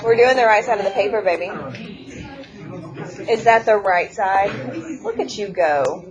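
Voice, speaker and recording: A woman teaching math to a classroom, brisk and teasing as she walks students through problems. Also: mid-range voice, muffled recording. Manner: brisk, teasing, talks over a noisy class. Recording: noisy classroom chatter. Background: students chattering.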